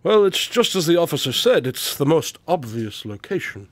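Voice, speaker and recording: A man's voice: on the high side, sing-song, dry recording. Shrewd, dryly superior detective, acted by a man. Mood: musing. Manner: measured, deliberate, dryly superior. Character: shrewd, confident male detective, dryly condescending. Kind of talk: radio drama acting.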